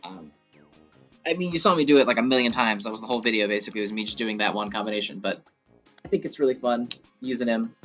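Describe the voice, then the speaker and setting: A man's voice: fairly low pitch, quick pace, fairly bright tone. A man giving casual, rambling game commentary as a video creator, talking like an enthusiastic fan with some mild swearing. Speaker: gaming video creator and host. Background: video game music.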